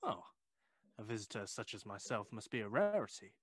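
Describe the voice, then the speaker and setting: A nervously chatty, inquisitive Victorian gentleman in an audio play. His voice swings up and down in a dry, close recording, full of polite hesitations and old-fashioned courtesy. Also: on the low side, sing-song, a little fast, no room sound. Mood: curious, polite. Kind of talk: audio drama acting.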